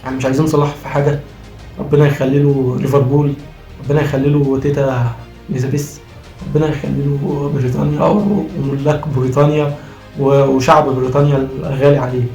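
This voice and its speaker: Rapid-fire Egyptian man with a strained voice. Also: mid-range voice, steady tone, mellow, lo-fi sound. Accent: Egyptian Arabic. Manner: emphatic, argumentative, repeats phrases. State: strained, voice worn out from cheering. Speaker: football vlogger. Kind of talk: impassioned post-match fan commentary. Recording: roomy echo, faint music behind.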